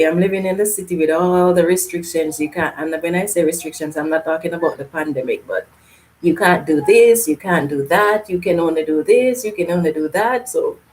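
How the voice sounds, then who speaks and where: A woman from Canada hosts a casual live gardening stream. She reads viewer comments aloud and answers in a loose, chatty way with frequent laughter. Her voice sits a little low. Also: a little hiss or hum, poor audio. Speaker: gardening channel host.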